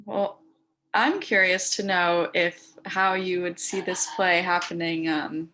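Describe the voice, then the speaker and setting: A woman's voice: on the low side, steady tone, slow, bright, forceful tone, rough recording. A woman moderating an audience Q&A on a video call, hesitant with many ums. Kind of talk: hosting a Zoom Q&A.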